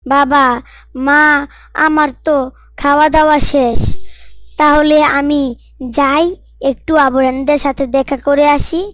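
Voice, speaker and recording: A woman plays a cheerful young man in a cartoon story, using a very high and bright voice. He is eager and friendly with his family and friends, and the recording is close and dry. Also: flat delivery, measured pace, pauses often, no echo.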